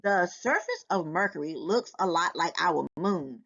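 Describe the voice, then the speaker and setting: A woman reading a nonfiction book aloud to children in a deliberate, instructive way. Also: lowish voice, expressive, fairly bright tone. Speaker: volunteer children's book reader. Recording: dull, lo-fi sound.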